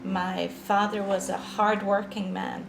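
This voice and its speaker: A woman in her sixties from Scotland with an Edinburgh accent, speaking as a guest on a podcast interview, slow and reflective with frequent restarts. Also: medium pitch, flat delivery, gravelly, reverberant, background noise. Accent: Scottish, Edinburgh. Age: 60s. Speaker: guest author.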